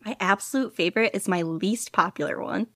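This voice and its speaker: A woman's voice: mid-range voice, brisk, bright, forceful tone, no echo, studio quality. A woman guest on a casual podcast interview, talking eagerly and laughing often. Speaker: podcast guest, audiobook reader.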